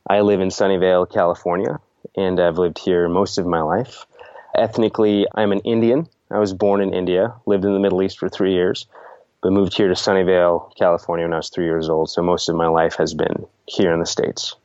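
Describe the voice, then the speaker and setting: A man's voice: low-pitched, little pitch movement, brisk, a little mellow, no room sound, dull audio. A man in his thirties introducing himself plainly as an interview guest. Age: 30s.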